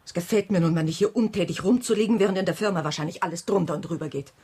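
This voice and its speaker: Husky, strong-willed, impatient wife. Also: female voice, on the low side, racing, mellow. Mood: stubborn, irritated. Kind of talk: radio crime drama acting.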